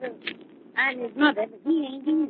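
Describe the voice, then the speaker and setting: Actress as wary, folksy mother, distressed and curt, high voice, old tinny radio serial. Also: moderate pace, close to an everyday voice. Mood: guarded. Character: curt, suspicious, distraught working-class mother. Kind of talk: 1930s radio drama acting. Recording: tinny, noisy 1930s radio recording.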